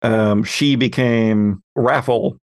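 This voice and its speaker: A man talking casually as a podcast game master looking back, halting as he thinks aloud. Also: mid-range voice, steady tone, no room sound, studio quality. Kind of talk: casual retrospective chat.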